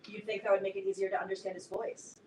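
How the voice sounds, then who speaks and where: A woman in a staged reading playing a brisk, dryly critical teenage girl who leads a club. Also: medium pitch, flat delivery, darkish tone, natural voice. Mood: brisk, tactful. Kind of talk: staged reading of a play, naturalistic dialogue. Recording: roomy, distant mic, faint noise.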